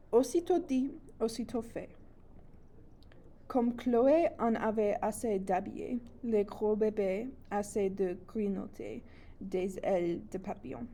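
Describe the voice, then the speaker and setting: Slow, mellow young woman reading haltingly. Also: mid-range voice. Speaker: student reader. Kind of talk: read-aloud children's storybook narration.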